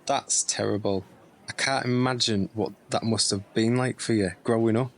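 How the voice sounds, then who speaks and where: An actor playing a quiet, reserved nineteen-year-old nephew in an audio drama. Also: male voice, on the low side, fairly even intonation, moderate pace. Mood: sympathetic. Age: young adult. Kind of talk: audio drama acting, naturalistic dialogue.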